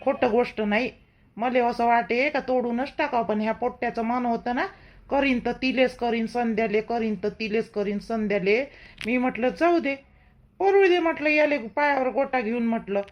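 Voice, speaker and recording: A woman's voice: a little high, moderate pace, dull audio, close to an everyday voice. A woman playing a protective middle-aged village mother-in-law in a cartoon, chatty and emphatic, with a Vidarbha Marathi accent. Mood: confiding. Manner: chatty, emphatic, repeats herself. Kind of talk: homely cartoon drama dialogue.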